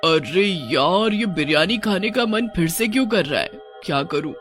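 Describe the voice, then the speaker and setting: A woman voicing a gluttonous, gleeful and bossy witch ghost in a cartoon, gloating with drawn-out exclamations. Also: fairly low pitch, animated, soft background music, natural voice. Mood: restless craving.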